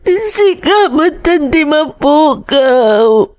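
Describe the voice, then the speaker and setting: Woman acting young waitress daughter, Ilocano accent, high-pitched, slow, anguished, dramatic radio-drama delivery. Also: big pitch swings, a little mellow, full character voice. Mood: grieving, sobbing.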